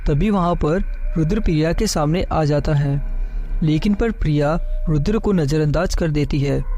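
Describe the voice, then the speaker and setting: A man tells a scripted horror story. His voice sits a little high with a soft, mellow tone, and light music plays behind him. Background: faint music.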